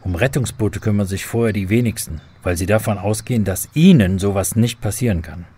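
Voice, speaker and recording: A man's voice: on the low side, fairly quick, dark tone, breathy edge. A man playing an earnest believer who patiently explains faith to children in a scripted radio drama.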